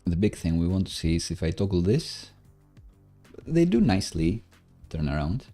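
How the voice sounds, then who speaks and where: A man giving casual live coding commentary, halting as he thinks aloud while he works. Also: low voice, very animated, warm tone, soft background music.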